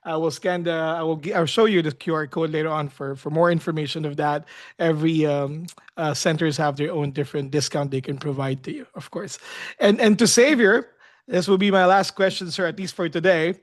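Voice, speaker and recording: Male moderator, high pitch, friendly but halting, webinar host. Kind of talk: hosting a live webinar Q&A.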